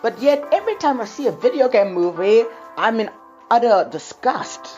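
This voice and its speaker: A woman's voice: on the high side, big pitch swings, moderate pace, darkish tone, far from the mic, lo-fi sound. Female video host, rambling and exclamatory, recorded off-mic in an echoey room with music underneath. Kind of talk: casual opinionated video commentary.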